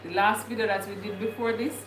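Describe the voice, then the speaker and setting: A woman's voice: mid-range voice, steady tone, a little fast, a bit bright. Woman, Jamaican accent, young adult, casual rambling chat, roomy echo, noisy background. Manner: rambling, thinking aloud with many fillers. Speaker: vlogger. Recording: echoey room with clear background noise.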